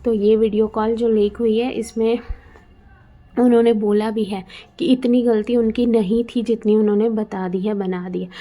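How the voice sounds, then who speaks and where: A woman's voice: medium pitch, warm tone. A woman giving casual, opinionated commentary in a conversational way. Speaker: video commentator.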